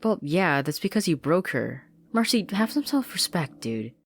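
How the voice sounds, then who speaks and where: A woman's voice: on the low side, big pitch swings, normal speed, voice pushed up. Woman as a blunt, teasing teenage girl. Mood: playful exasperation. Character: blunt, playful, warm-hearted teenage girl.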